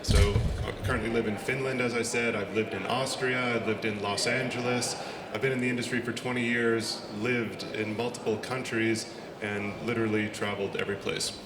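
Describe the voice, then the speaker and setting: A middle-aged American man with an American accent, speaking as a conference panelist in an earnest, steady way. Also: on the low side, fairly quick. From United States. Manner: steady, earnest, a few restarts. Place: large conference hall. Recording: echoey hall with background noise.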